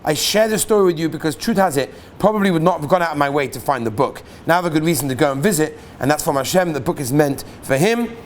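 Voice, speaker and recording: A man, a rabbi, reading listeners' stories aloud to his class in an animated storytelling way, sometimes asking the audience questions. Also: fairly high pitch, fast, few pauses, slight background noise.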